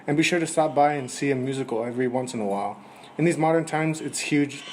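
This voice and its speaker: A man's voice: medium pitch, fast talker, darkish tone, lightly acted. A man playing a polite, enthusiastic aspiring musician in a 1920s radio interview. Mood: earnest. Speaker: musician guest. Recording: noisy amateur recording.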